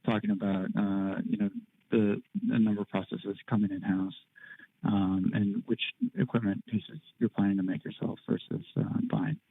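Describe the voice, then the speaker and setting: A man's voice: lowish voice, level tone, normal speed, breathy edge. A man speaking as a financial analyst, putting unscripted questions to executives over a phone line on an earnings call.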